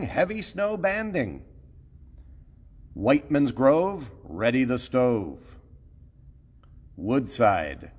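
A man's voice: medium pitch, big-room sound. A man, a TV chief meteorologist with a Midwestern American accent, playfully reciting rhyming weather notes in a sing-song way.

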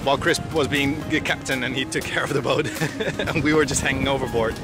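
A man's voice: mid-range voice, fairly even intonation, a little fast. Upbeat Dutch man vlogging outdoors. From Netherlands. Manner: enthusiastic, chatty. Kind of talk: casual travel vlog. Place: outdoors by the sea and on a sailboat. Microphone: action camera held at arm's length. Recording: music playing underneath.

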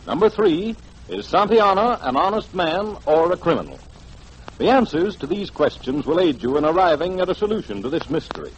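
A man announcing formally and emphatically in the style of 1940s radio promotion, with a husky voice. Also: a little high, very animated, a little fast. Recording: dull, lo-fi 1940s radio broadcast.

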